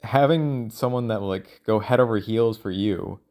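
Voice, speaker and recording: A man speaking in a casual, rambling monologue, thinking out loud in a halting, self-questioning way. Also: on the low side. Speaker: solo audio log creator.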